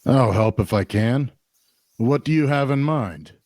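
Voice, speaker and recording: Casting: male actor for burly, guarded fantasy innkeeper, plain-spoken, average male pitch, close dry recording. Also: animated, measured pace, darkish tone, no room sound. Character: big, guarded male tavern barkeep in a lumber town. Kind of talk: audio drama table read.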